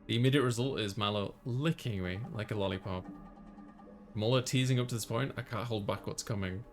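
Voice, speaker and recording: A man's voice: on the low side, fairly quick, faint music behind. A man reading a visual novel aloud as a casual first-person narration for a playthrough.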